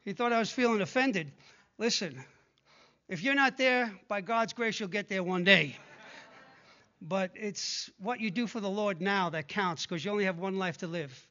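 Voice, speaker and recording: A man in his sixties preaching a sermon, speaking conversationally and growing hushed when he prays. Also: high voice, moderate pace, highs cut off. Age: 60s. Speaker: pastor. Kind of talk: sermon with prayer and scripture reading.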